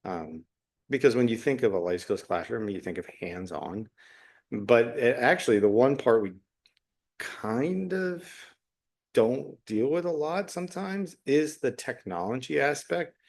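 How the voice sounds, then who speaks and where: A middle-aged man talking unscripted as a solo podcast host, reflective and halting, often pausing mid-phrase. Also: mid-range voice, lively intonation, a little mellow. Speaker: podcast host, special education teacher.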